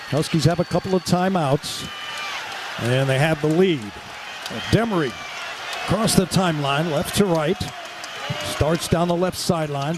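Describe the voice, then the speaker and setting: High-pitched excitable man calling basketball. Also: slight background noise. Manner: excitable, shouts on big plays. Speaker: play-by-play sports commentator. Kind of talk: live radio basketball play-by-play.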